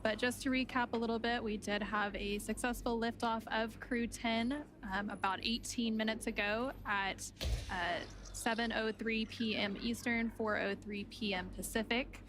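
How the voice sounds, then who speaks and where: A woman's voice: fairly high pitch, steady tone, normal speed, background noise. A woman giving live broadcast commentary as a launch presenter.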